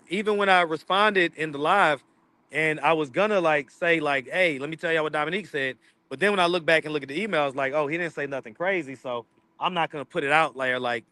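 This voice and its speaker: Guy on a livestream, slight lisp, chatty, sharp bright tone. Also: a little high, dry recording. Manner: chatty, reads out viewer comments and answers them. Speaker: livestream host. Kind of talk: casual livestream chat. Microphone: streaming mic.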